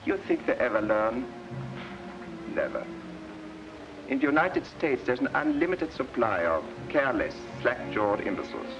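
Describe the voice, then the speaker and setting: An actor playing a gloating, contemptuous Nazi agent who narrates a 1940s propaganda film in a clipped, imperious manner. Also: male voice, mid-range voice, sing-song, normal speed, pauses often. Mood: sneering. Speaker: narrator of a military training film. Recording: muffled 1940s film soundtrack, music behind.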